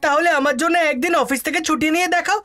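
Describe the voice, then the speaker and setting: A woman's voice: sits high, rapid-fire, over loud music, natural voice. A woman voicing a whiny cheeky schoolboy. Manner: whiny, dramatic, pleading. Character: cheeky schoolboy Chiku, older brother. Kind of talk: Bengali cartoon dub.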